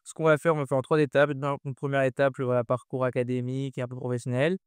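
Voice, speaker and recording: A man's voice: medium pitch, flat delivery, fast, clear, resonant tone, dry recording, studio quality. A man hosting an interview podcast in a casual, conversational style, putting questions to a guest.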